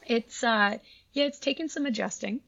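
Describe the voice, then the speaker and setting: A woman's voice: fairly high pitch, normal speed, vocal fry, a bit bright, no room sound, muffled recording. A woman in her thirties from Canada, a pediatric orthopedic surgeon, telling her career story in an enthusiastic, chatty way. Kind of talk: interview answers.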